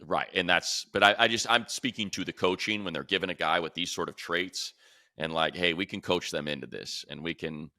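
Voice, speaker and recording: A man's voice: low voice, a little fast, bright, forceful tone, no echo, clean studio sound. A man hosting casual sports talk in a conversational way, thinking aloud and restarting phrases as he goes. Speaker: sports show host.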